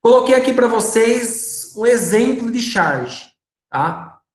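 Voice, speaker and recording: A man's voice: extremely high pitch, expressive, takes it slowly. Man, Brazilian Portuguese accent, schoolteacher, online lesson, patient, frequent check-ins, echoey room. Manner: patient, checks in often with short tags. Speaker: religious education teacher. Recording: roomy echoey room sound.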